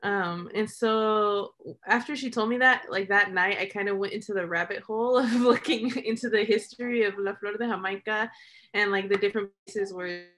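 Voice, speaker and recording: A woman's voice: mid-range voice, normal speed, fairly bright tone. A young American woman, a documentary filmmaker, talks casually and discursively about their work on a video call, often saying "like". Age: young adult. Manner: informal, discursive, frequent "like", chuckles while talking. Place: small room at home, indoors. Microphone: computer mic on a video call.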